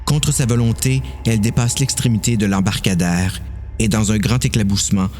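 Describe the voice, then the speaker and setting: A man's voice: fairly low pitch, normal speed, a little mellow, faint music behind. A man with a Quebec French accent narrating a dramatised horror story.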